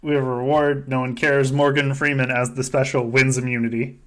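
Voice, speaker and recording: A man's voice: medium pitch, level tone, brisk, a bit bright. A man hosting a casual comedic recap with friends, swearing freely and often laughing through his sentences. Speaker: podcast host.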